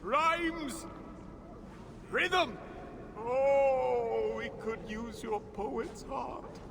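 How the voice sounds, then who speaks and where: Drunk theatrical dwarf bard in a game, really slow and creaky, big echoing hall, noisy. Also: male voice, very high-pitched, heavily acted. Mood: exuberant. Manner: grandiose, declaiming, tipsy. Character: drunken, theatrical male dwarf poet, grandiose and excitable. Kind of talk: cinematic video game acting. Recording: long hall reverb, clear background noise.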